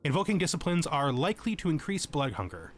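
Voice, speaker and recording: A man's voice: a little high, sing-song, fast talker, slight background noise. A man giving a tutorial-style gaming commentary, moving between reading out game text and explaining it informally. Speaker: host of a gaming channel.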